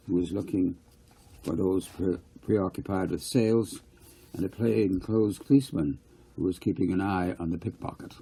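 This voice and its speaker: Guy reading his story out loud, gravelly mellow voice, takes his time. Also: low-pitched, low-quality recording. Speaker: author reading own novel. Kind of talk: scripted audiobook narration.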